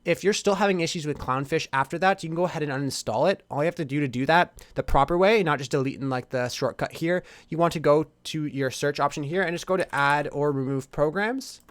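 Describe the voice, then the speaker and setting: Male tutorial host, informal and reassuring, rapid delivery, dry close-miked voice-over. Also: fairly high pitch, no room sound. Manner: informal, reassuring explainer addressing viewers directly. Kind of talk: casual PC tutorial narration.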